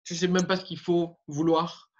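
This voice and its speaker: Coach guy, kinda high voice, pushes his points hard, muffled sound. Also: level tone, normal speed. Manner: explanatory, emphatic. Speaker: fitness coach interviewer. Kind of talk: coaching interview. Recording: dull muffled audio.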